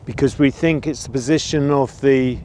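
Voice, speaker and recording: A man giving an informal gear review, explaining things in a measured, careful way. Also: medium pitch, steady tone, mellow, slight background noise. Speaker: presenter reviewing and testing gear. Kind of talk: informal product review commentary.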